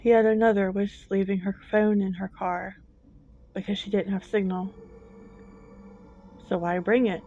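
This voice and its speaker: A woman narrating a scripted horror story in a slow, hushed, suspenseful manner with long deliberate pauses. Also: mid-range voice, little pitch movement. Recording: dull lo-fi, music bed behind. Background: background music.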